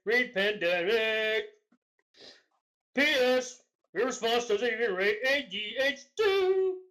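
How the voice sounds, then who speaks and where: Woman, high pitch, sarcastic, argumentative, mocking dramatic read-aloud of forum posts. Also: lively intonation, slow, a bit bright. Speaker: co-host.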